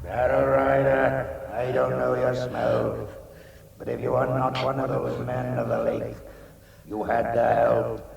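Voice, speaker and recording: An actor playing an ancient, vain and menacing dragon in a vintage radio drama, boasting pompously and grandly. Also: male voice, mid-range voice, gravelly. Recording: cavernous echo, muffled, distant vintage radio.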